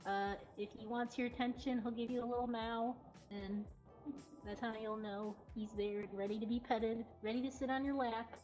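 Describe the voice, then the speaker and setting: A woman with a highish voice presents casually on a livestream, taking her time as she chats. She sounds giggly and informal. The audio is a little dull, with music playing softly behind her. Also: fairly even intonation, moderate pace. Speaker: cat cafe host presenting adoptable cats. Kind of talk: casual unscripted livestream narration. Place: cat cafe. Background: faint music.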